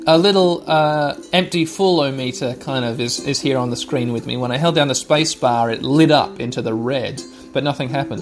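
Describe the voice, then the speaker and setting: Wry man narrating a game over music. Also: medium pitch. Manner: chatty, wry running commentary. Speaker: podcast host playing a video game. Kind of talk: narrating gameplay to listeners.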